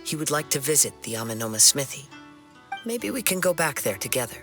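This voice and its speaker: Young game swordsman: gentle, measured, thoughtful, mid-range with a very bright tone, faint music. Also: mid-range voice, very animated. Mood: composed. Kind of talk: scripted game dialogue.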